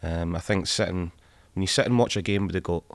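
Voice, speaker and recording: A man's voice: deep voice, a little fast, dry recording. A middle-aged man talking casually about football as a podcast panellist. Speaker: podcast panellist, former coach.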